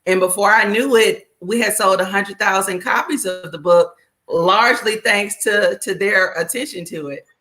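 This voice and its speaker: A middle-aged woman, a children's book author, talking casually as a guest, reflective and relaxed with many fillers. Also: medium pitch, normal speed. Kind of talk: casual conversation.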